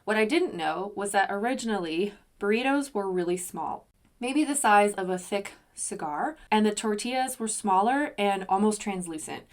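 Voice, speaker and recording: A woman's voice: mid-range voice, animated, normal speed. Woman, young adult, solo podcast host, chatty, self-deprecating. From United States.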